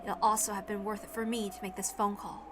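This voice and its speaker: A woman voicing a solemn dying mother saying farewell in a quiet, halting, earnest way, in a dramatic reading. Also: mid-range voice, flat delivery, heavy background noise, pitched below the performer's own voice, natural voice. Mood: earnest, pleading.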